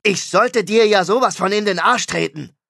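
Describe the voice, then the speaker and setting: A man reading a fiction audiobook, voicing an angry, vain male rock star who is loud and swears. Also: on the high side, unhurried, creaky, breathy edge, no echo, studio quality, heavily acted. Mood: furious. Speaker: audiobook character.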